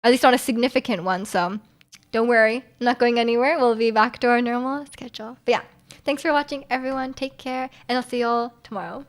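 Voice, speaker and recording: A woman from Canada streaming, chatting casually with viewers and reading their messages aloud, full of "like" fillers and quick laughs. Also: on the high side. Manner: laughs often while talking.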